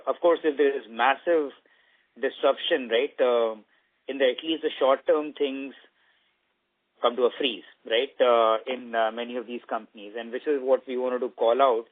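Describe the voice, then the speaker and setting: A man, a senior company executive, explaining his view on an earnings call and often checking with short tag questions. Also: mid-range voice. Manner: explanatory, punctuated with "right" tags. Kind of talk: spontaneous answers on an earnings call. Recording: tinny phone-line conference audio.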